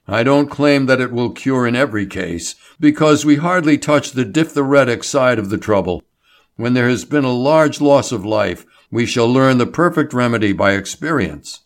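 A man voicing an excitable male doctor, a scornful crank who snorts and cries out as he lectures. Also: mid-range voice, normal speed, close to an everyday voice. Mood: excited.

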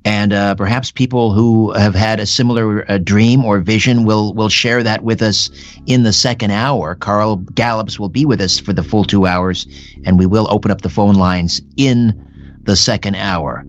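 A man hosting a radio talk show, leading into a break in a relaxed way with frequent fillers. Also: on the low side, normal speed, highs cut off. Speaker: radio host.

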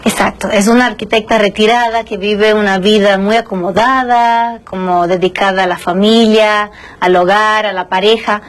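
A woman's voice: medium pitch, steady tone, normal speed. A woman from Brazil, a Portuguese speaker in her Spanish, answering conversationally as an interview guest and film director.

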